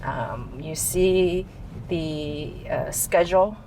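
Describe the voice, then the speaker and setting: A middle-aged woman from Taiwan, answering interview questions in a relaxed way, often restarting sentences and joking. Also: deep voice, extremely slow, slight background noise, rough recording. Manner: hesitant, restarts often, jokes and laughs. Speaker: guest, tech executive. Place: office in Shanghai.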